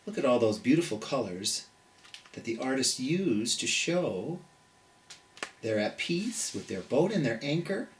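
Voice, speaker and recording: An American children's storytime host with a medium-pitched voice, reading and chatting at a slow pace. The delivery is gentle and theatrical, with pitch that swings widely from phrase to phrase. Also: big pitch swings, far from the mic, a little hiss or hum. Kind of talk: children's picture-book read-aloud with chatty asides.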